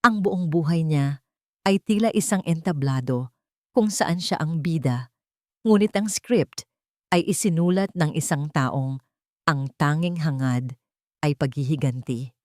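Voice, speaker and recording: Woman narrator with a lower voice. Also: measured pace, pauses often, a little mellow, dry recording, studio quality. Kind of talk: scripted dramatic storytelling.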